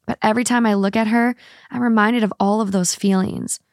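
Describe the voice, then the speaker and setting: Woman co-host, average pitch, dry close sound, reads posts aloud steadily. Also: steady tone, brisk, dry recording. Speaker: podcast co-host. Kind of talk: casual chat, reading posts aloud.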